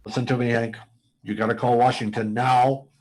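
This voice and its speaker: A man's voice: medium pitch, level tone, normal speed, lightly acted, rough recording. A man playing a desperate, threatening pilot in a radio drama. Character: desperate male pilot threatening to blast the base. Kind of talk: live radio drama acting.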